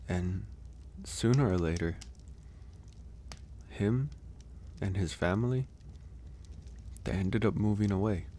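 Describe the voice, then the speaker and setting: A gentle, solitary werewolf acted by a man speaks in a deep, soft voice for an ASMR audio roleplay. He takes his time, with slow, hesitant phrasing, sighs and pauses. A little noise sits behind the voice. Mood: wistful, resigned. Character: gentle solitary male werewolf caring for an injured stranger.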